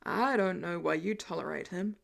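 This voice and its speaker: Woman as a sullen, haughty teenage boy, lowered pitch. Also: normal speed, creaky. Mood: annoyed. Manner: clipped, disdainful grumbling.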